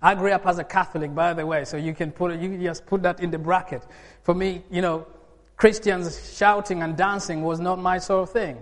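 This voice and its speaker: A man in his forties from Uganda, preaching a sermon in a lively way with emphatic bursts and laughs. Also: sits high, fairly quick. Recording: long hall reverb.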